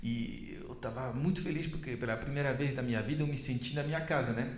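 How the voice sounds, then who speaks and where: A man from France, a native French speaker, telling his story as a podcast guest in eager, quick conversation. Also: medium pitch, reverberant. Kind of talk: casual interview conversation.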